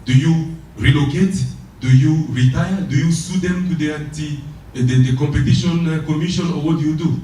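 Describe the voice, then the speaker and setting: A man from the Democratic Republic of Congo with a Congolese French accent, whose first language is French, giving a lively motivational speech. He asks the audience rhetorical questions and repeats his key phrases. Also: on the high side, normal speed, slight background noise. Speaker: motivational speaker. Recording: very echoey hall, voice from far off.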